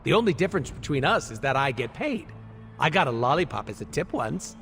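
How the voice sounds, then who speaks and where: An actor playing a cocky, irreverent mercenary antihero in a video game, with a jokey, sarcastic, theatrical delivery. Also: male voice, medium pitch, big pitch swings, fairly quick, creaky, a bit bright, soft background music. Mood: cocky, joking. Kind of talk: video game cutscene acting.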